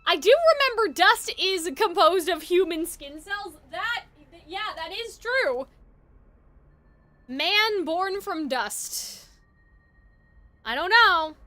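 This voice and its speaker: A woman's voice: extremely high pitch, animated, takes it slowly, pauses often, pushed and bright. A woman live streamer chatting casually and reacting to a game in an informal, chatty way.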